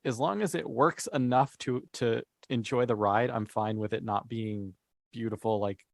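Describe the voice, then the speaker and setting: A man's voice: mid-range voice, fairly even intonation, moderate pace, vocal fry, no echo, clean studio sound. A man talking informally and playfully as a panelist in a casual podcast discussion.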